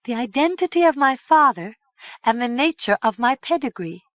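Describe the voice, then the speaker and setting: A woman voicing a bold, impatient young fairy girl in a high, eager voice. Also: very animated, moderate pace, dry recording, muffled recording. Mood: insistent.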